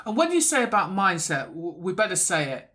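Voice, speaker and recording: A woman's voice: lowish voice, sing-song, a little fast, sharp tone. Woman host, supportive, restates the guest's points. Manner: supportive, sums up guest's points, acts out quotes. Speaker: podcast host. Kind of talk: interview chat.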